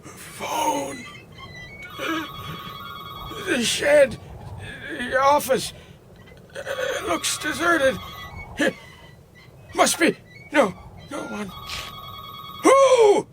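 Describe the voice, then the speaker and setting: A man's voice: very high-pitched, very animated, a bit bright, a little hiss or hum, full character voice, rough recording. An actor playing a frail, frightened, lonely old man in a radio drama, stammering and tearful. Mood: bewildered. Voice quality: quavering, tearful. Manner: stammering, halting.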